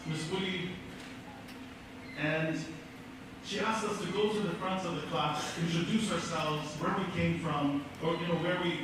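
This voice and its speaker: An earnest middle-aged man delivers a measured speech in a school auditorium, his fairly high voice carried from a distance. The hall rings with heavy echo and a steady hum of room noise. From American Samoa. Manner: measured, earnest storytelling with pauses. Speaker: keynote speaker. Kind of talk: prepared speech. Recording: echoey hall from far off.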